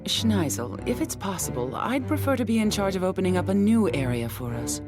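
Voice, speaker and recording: An actress playing a stern, hard-headed princess and military commander in an English anime-dub audio drama, blunt and commanding. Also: female voice, medium pitch, big pitch swings, brisk, over music, natural voice. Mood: cool.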